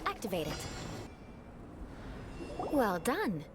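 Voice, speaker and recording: A woman's voice: medium pitch, wildly swooping pitch, takes it slowly, over music. A woman acting a dignified, teasingly vengeful young aristocrat in a video game, with formal, lofty diction. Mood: lofty instruction.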